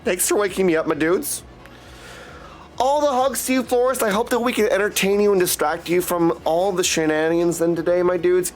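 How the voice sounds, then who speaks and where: A man livestreaming casually, greeting viewers with effusive affection as he chats. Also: on the high side. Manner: effusive affectionate greetings, often addressing listeners as dudes. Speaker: live streamer greeting chat.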